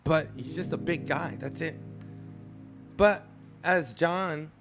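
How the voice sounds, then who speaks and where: Casual male vlogger, slightly high voice, animated and repetitive delivery, music bed under him. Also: slow pace. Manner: animated, repeats phrases for emphasis. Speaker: sports commentary YouTuber. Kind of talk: casual opinion vlog.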